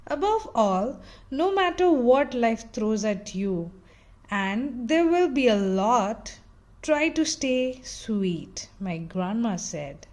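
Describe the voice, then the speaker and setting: A woman reading a children's picture book aloud in an expressive, dramatic storytelling style with theatrical emphasis. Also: a little high, takes it slowly.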